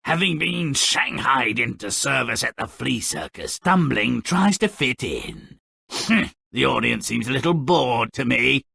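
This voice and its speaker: An actor playing a cynical, gleefully morbid, grumpy dwarf storyteller in a video game, with a raspy, gravelly voice and a pompous, sardonic, theatrical delivery. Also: male voice, mid-range voice, lively intonation, sharp tone, no room sound, studio quality, heavily acted. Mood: wry, mocking. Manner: sardonic, pompous wordy, mock-dramatic swoops and drawn-out endings. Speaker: narrator character. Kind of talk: theatrical scripted game narration.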